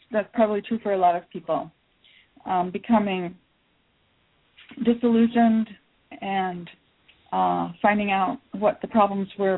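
A middle-aged woman psychologist who grew up in Taiwan, answering interview questions thoughtfully with many pauses and fillers. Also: mid-range voice. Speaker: psychologist guest. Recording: tinny phone line.

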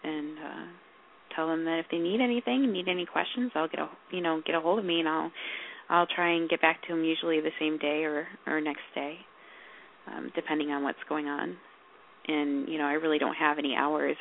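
A woman co-host talks in a loose, rambling way, full of ums and restarts. Her voice is gravelly and a bit hoarse, as if she is losing it. The sound is thin and phone-like. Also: low-pitched, fairly even intonation, a little fast, slight background noise. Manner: rambling, lots of fillers and restarts. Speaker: podcast co-host. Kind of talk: casual chat. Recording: tinny phone-like call audio.

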